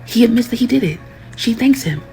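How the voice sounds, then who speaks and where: A woman's voice: on the high side, lively intonation, a little fast, vocal fry, mellow, soft background music, poor audio. A woman recapping a story in a sardonic, rhythmic way. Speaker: commentator.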